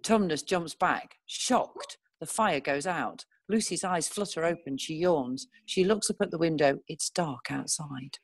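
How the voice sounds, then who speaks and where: A woman narrating screenplay stage directions in a measured, descriptive reading style. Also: deep voice, slightly rough, no room sound.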